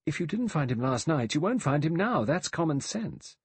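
A man's voice: fairly high pitch, very animated, moderate pace, slightly rough, no room sound, voice pushed up, heavily acted. A man voicing a frightened, desperate woman in an audiobook, with urgent and anxious pleading.

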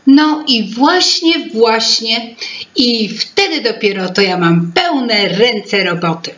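A woman's voice: on the high side, slow pace, a bit bright, muffled recording, pitched above the performer's own voice. A woman voicing a bossy, fussy female fairy-tale creature, speaking in a stern, emphatic, exasperated manner.

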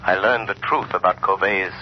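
An actor playing a calm, all-knowing mysterious avenger, measured and commanding, in 1930s radio drama style. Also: male voice, sits low, close to an everyday voice. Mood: calm, grave. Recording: muffled, noisy 1930s radio recording.

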